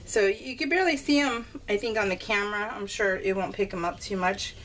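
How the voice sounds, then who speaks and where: A middle-aged woman talks through her crafts in a casual, unscripted vlog style. Her voice sits at an ordinary pitch for a woman, and her manner is chatty, giggly and rambling. There is faint noise behind her, as in a simple home recording. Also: brisk, a bit bright, poor audio. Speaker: craft vlogger presenting her scrapbook layouts. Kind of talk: casual, unscripted chat to viewers while showing a scrapbook.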